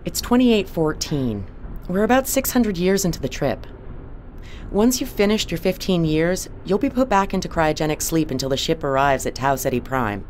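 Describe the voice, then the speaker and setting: Low-voiced, authoritative starship captain. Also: female voice, expressive, darkish tone, slight background noise. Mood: businesslike. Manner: measured, businesslike. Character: composed, authoritative woman captain of a colony spaceship. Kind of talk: naturalistic audio drama acting.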